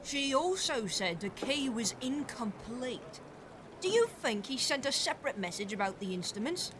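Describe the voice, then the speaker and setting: A brash young street girl, cheeky and inquisitive. Her high voice jumps around in pitch, radio-play style, over faint street noise. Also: sing-song, moderate pace. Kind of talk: audio drama acting.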